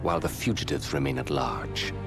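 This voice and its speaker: An actor playing a proud, curt military commander in a video game cutscene, formal and clipped. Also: male voice, a bass voice, brisk, creaky, loud music behind the voice.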